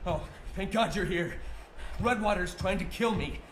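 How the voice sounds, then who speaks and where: A man's voice: high voice, moderate pace, lightly acted. An actor playing a cowardly official in a video game, stammering and frantic as he pleads. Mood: terrified. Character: panicky, cowardly male official, pleading and bargaining. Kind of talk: dramatic video game acting. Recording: echoey, music behind.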